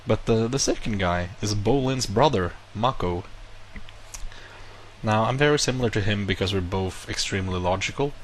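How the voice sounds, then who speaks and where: A low-pitched man whose first language is not English talks casually to his viewers, rambling through a personal story and stopping to reword himself. A faint hum of room ambience sits under his voice. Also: poor audio. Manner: rambling, self-correcting, animated retelling. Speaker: vlogger. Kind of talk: casual monologue to camera.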